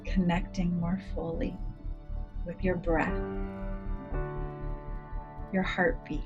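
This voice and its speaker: A woman guides a meditation in a fairly low voice. Her delivery is soothing and unhurried in its phrasing, leaving deliberate pauses between gentle instructions. Music plays clearly behind her. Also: little pitch movement, muffled recording. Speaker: clinical psychologist guiding a meditation.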